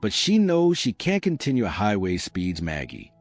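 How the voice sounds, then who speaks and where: Male audiobook-style narrator, lowish voice, dramatic scripted read, expressive intonation, dry sound. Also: moderate pace, faint music behind.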